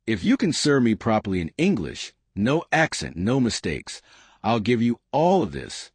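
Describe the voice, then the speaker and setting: A man playing an arrogant rich man who talks in a loud, sneering, theatrical way. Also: mid-range voice, big pitch swings, moderate pace, slightly rough, no echo. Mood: mocking.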